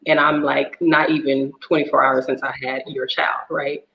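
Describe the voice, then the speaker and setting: A woman's voice: very deep voice, level tone, moderate pace, gravelly, highs cut off. Woman guest, divorce coach, sharing her story with feeling; roomy echo around her voice. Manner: earnest, emotional storytelling. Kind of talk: personal testimony in a talk show.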